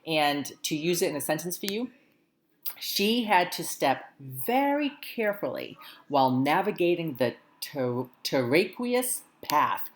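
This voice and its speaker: A woman hosting a school morning greeting, speaking warmly and encouragingly to students and reading slowly and carefully. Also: sits low, slight background noise. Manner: warm encouraging teacherly tone, slow careful reading. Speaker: school morning announcements host. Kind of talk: friendly talk to schoolchildren.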